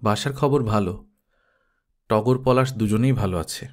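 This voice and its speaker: A man's voice: on the low side, unhurried, darkish tone, voice pushed down, close to an everyday voice. A man playing a talkative, wistful middle-class elder brother in an audio story, rambling on matter-of-factly. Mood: awkward, rambling.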